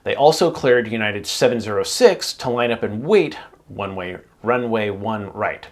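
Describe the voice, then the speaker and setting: A man hosting an aviation channel, narrating an explanatory video in a measured way with emphasis on key points. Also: on the low side, lively intonation.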